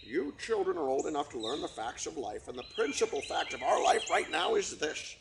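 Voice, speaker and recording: A man's voice: a little high, very animated, fairly quick, background noise. A man voicing a pompous, long-winded father trumpeter swan who makes grand speeches to his children.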